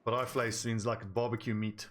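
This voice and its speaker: A man's voice: medium pitch, flat delivery, normal speed, a little hiss or hum. A young South African man with a South African accent, chatting casually while playing a game on a livestream and answering his chat. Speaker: streamer. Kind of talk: casual gameplay livestream commentary.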